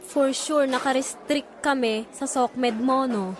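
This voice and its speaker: A woman's voice: high-pitched, steady tone, slow, a little hiss or hum. A woman playing a sulky, accusing young friend in a Filipino audio drama. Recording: faint crowd behind.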